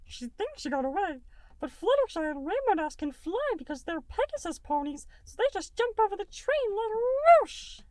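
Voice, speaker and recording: A woman voicing a childlike male cartoon goo creature, high-pitched and rambling with eager enthusiasm. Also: sing-song, moderate pace, a little mellow, dry recording. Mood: eager, excited. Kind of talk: breathless rambling cartoon retelling.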